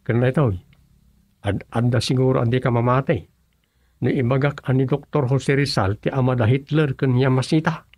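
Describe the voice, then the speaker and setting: A man playing a creaky Ilocano tall-tale teller. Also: medium pitch, steady tone, normal speed, a little mellow, no room sound, close to an everyday voice. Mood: earnest boasting. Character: comic teller of absurd tall tales. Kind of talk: comedic Ilocano radio drama acting.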